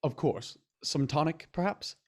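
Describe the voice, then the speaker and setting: A man playing a measured, cautious doctor in a radio murder mystery, clipped and matter-of-fact. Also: fairly high pitch, a little mellow. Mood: grave, clinical.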